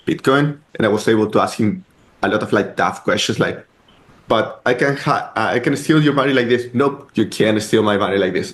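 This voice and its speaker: A man with a Chilean accent, a guest on a podcast interview, telling his story in a rambling way full of "like" and "uh". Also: on the low side. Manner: rambling, heavy use of "like" and "uh". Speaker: guest, startup co-founder.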